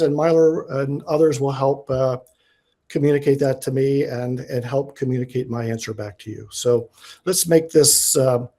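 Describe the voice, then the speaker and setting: A man giving an informal academic presentation as a guest lecturer. He is enthusiastic and tries to speak slowly and deliberately, though his speech has many fillers and restarts. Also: fairly high pitch, darkish tone. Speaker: guest lecturer, diatom researcher.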